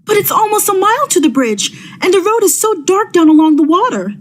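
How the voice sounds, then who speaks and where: A woman playing a high-strung, frightened widow in a vintage radio thriller, with an anxious, pleading, melodramatic delivery. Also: very animated, a little fast. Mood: uneasy.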